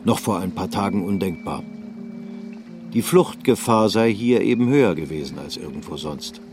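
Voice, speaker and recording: A man with a fairly low voice narrates a literary radio play, measured and unhurried. Faint music sits under his voice. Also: lively intonation, darkish tone.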